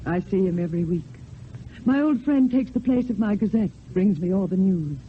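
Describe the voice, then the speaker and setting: Through a thin, slightly noisy old radio recording comes a grieving widow, played by an actress. She is devoted and formal, with measured theatrical diction from the radio stage. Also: medium pitch, animated, narrow, tinny audio, close to an everyday voice. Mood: composed, fond. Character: Roxanne, grieving devoted French widow living in convent.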